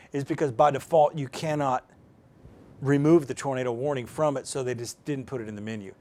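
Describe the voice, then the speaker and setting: A man presenting an informal, unscripted step-by-step tutorial, explaining plainly in a conversational way as he demonstrates. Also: a little high. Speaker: presenter of a live how-to demonstration.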